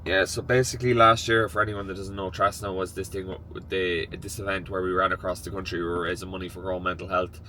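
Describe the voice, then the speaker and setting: A young Irish man talking casually to camera in a vlog, croaky and nasal from a cold, chatty and rambling. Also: fairly low pitch, bright, forceful tone, slight background noise. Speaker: vlogger.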